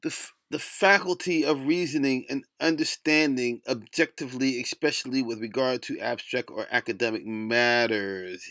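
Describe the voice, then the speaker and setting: A man's voice: medium pitch, normal speed, few pauses, bright, forceful tone. A middle-aged man with a lisp delivers a rambling, blunt opinion rant, cursing and breaking off mid-thought. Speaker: solo video blogger.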